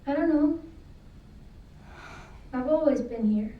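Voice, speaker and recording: A woman's voice: high voice, slow pace, narrow, tinny audio. A woman voicing a timid young girl in a horror game, giving small, hesitant replies. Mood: timid, wary.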